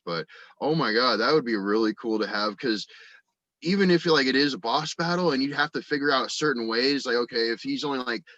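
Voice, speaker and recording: Guy guesting on a podcast, kinda halting and sweary, dry dull sound, lowish voice. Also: sharp tone, dry recording. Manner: halting, informal, swears freely. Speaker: podcast guest, audio drama writer and actor. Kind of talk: casual chat, brainstorming stories.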